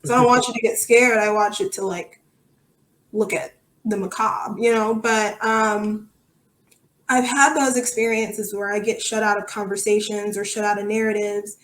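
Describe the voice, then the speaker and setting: A woman's voice: medium pitch, steady tone, normal speed. A woman in her thirties giving candid, emphatic, opinionated answers in a conversational interview. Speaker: podcast guest, soccer supporter. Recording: echoey room.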